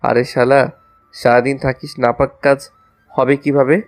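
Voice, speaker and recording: Male actor as smitten young student, earnest and playful, mid-pitched, romantic audio drama, music behind. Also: flat delivery, a little slow, close to an everyday voice. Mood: annoyed. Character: cheeky lovestruck young male student, pleading, teasing. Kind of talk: romantic audio story acting.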